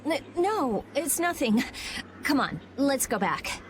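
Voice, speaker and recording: Game role: brusque teen girl, prickly, high and lively. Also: slow, a little hiss or hum, heavily acted. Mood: distracted. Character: blunt, prickly, curious teenage girl. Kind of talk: video game voice acting.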